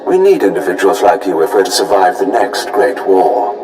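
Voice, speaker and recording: An actor playing a smug, menacing imperial fleet commander in a video game, pompous and self-satisfied. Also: male voice, low voice, big pitch swings, moderate pace, a little mellow. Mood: menacing, commanding. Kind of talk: video game character acting.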